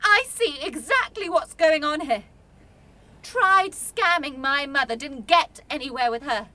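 High-voiced, prickly young student. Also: female voice, expressive, unhurried, sharp tone, full character voice. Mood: angry. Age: young adult. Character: guarded, prickly young female student, defensive and blunt. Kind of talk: radio drama acting.

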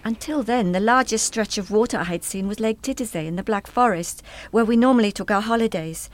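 An actress playing a wistful, hesitant German woman in a radio play, speaking in a reflective, halting way. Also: mid-range voice, lightly acted. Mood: dreamy, nostalgic. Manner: reflective, halting, trailing into reverie.

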